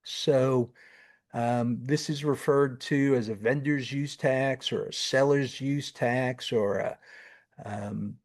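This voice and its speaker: A man with a New York accent co-hosting a podcast, explaining tax history in a relaxed, casual way with frequent ums and uhs. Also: medium pitch, takes it slowly. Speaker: podcast co-host.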